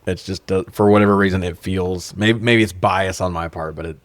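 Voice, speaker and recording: A man in his forties with a fairly low voice talks like a co-host on a comedy review show. He is sarcastic and swears freely as he recaps in loose, casual chat. The sound is dry, with very little room in it. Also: fairly even intonation, a little fast, no echo. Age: 40s. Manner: profane, sarcastic. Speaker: podcast co-host reviewing films.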